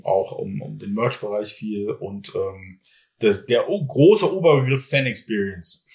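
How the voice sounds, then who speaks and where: A man from Bavaria, a football team's general manager, talking casually in a podcast and rambling with many fillers and restarts. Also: on the low side.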